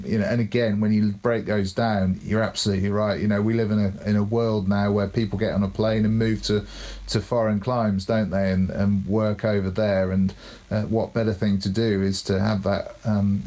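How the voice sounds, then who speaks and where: A man's voice: fairly low pitch, mellow. A man co-hosting a cricket podcast, giving coaching advice in a thoughtful, casual way and repeating words as he thinks. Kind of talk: casual chat.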